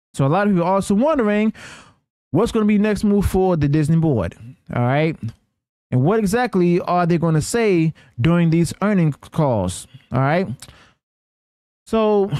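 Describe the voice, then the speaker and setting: Man, fairly high voice, dry close sound, news commentary host, halting reading, frequent self-corrections. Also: darkish tone, clean tone, no room sound.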